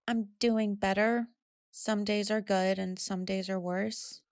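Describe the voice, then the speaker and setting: A woman's voice: mid-range voice, steady tone, slow pace, darkish tone, clean tone, no room sound, clean studio sound. An actress playing a troubled, candid woman in her twenties, confessing in a subdued way in an audio drama. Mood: subdued, vulnerable. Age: 20s. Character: troubled, candid young woman recovering from anorexia. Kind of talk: audio drama monologue and dialogue.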